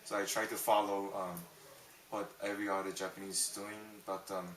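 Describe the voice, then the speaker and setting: Hesitant young man, bright voice, roomy and distant. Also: low voice, level tone, a little fast. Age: young adult. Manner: hesitant, many ums and restarts. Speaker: interviewee. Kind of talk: casual interview answers.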